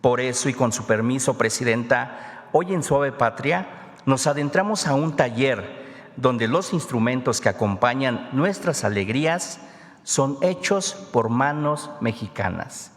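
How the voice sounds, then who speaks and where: A man's voice: medium pitch, expressive, measured pace. A man whose first language is Ayuuk, reading a solemn scripted segment as presenter at a press conference. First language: Ayuuk (Mixe). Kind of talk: read scripted narration. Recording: reverberant hall.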